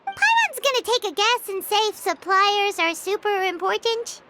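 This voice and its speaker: Casting: an eager little sprite companion, very high and piercingly bright, bubbly and chirpy. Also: female voice, expressive, unhurried, a little hiss or hum, exaggerated voice. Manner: bubbly, speaks of herself by name.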